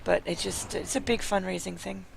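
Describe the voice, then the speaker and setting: A young-adult streamer from Chicago who is losing their voice, so every word comes out heavily gravelly and croaky. They ramble through a casual late-night chat, full of ums and uhs, at a middling pitch. A faint hiss sits behind the voice. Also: steady tone, moderate pace, low-quality recording. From Chicago, United States. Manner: rambling with many fillers, then low and sleepy. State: hoarse, losing voice. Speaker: live streamer.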